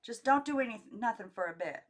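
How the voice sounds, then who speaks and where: A woman's voice: a little high, lively intonation, fairly quick, voice pushed up, close to an everyday voice. A woman voicing a brash, plucky young mother in an audiobook, with emphatic, colloquial delivery. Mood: hesitant, nervous. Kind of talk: audiobook character dialogue.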